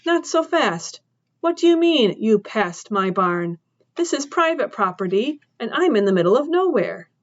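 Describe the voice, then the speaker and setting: A woman's voice: fairly high pitch, big pitch swings, measured pace, dull audio. A woman voicing a tough, wary middle-aged farm woman with a faint sing-song accent, who questions sharply. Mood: interrogating.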